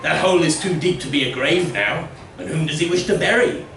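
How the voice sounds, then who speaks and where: A scornful villager from a storybook, acted by a male reader, passes judgement in an emphatic, declamatory voice. The pitch is raised, and the sound is roomy and somewhat distant, with the suspicion of a village gossip. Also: expressive, a little fast, fairly bright tone. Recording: roomy, distant miking with faint noise.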